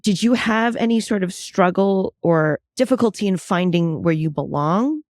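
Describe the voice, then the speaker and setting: A woman's voice: medium pitch, lively intonation, normal speed, a little mellow, no echo, clean studio sound. A woman hosting a podcast, asking questions and listening attentively with frequent short affirmations. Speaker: podcast host. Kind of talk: interview questions.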